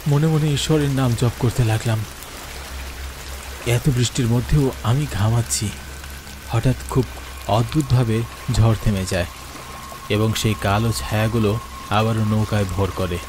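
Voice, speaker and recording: Theatrical male horror narrator over rain. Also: mid-range voice. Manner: theatrical, earnest. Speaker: story narrator. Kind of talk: dramatic scripted first-person horror story narration. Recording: clear rain noise behind the voice. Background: falling rain.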